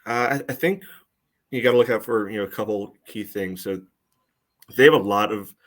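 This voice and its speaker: Guy with a lowish voice breaking down soccer on a podcast, kinda choppy, says you know a ton. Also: moderate pace. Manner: hesitant, choppy phrasing peppered with "you know". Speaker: podcast co-host and soccer analyst. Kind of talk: casual sports analysis chat.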